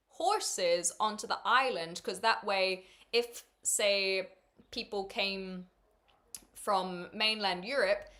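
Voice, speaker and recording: A woman's voice: mid-range voice, fairly bright tone. Chatty woman with a faint Kiwi accent. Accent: faint New Zealand. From New Zealand. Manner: chatty, thinks aloud with many fillers, laughs often. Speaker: streamer. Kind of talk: casual chat with viewers.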